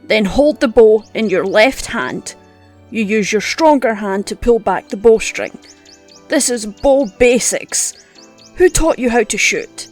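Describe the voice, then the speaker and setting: An actress playing a gruff, scolding old woman who teaches hunting with blunt scorn in an audio drama. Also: a little high, expressive, a little slow. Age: older. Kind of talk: audio roleplay drama acting. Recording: music under the voice.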